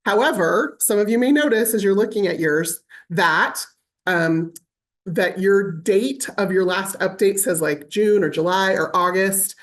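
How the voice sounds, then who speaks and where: A woman presenting an online webinar, explaining things casually with everyday examples, fillers and asides. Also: medium pitch. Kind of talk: conversational online teaching.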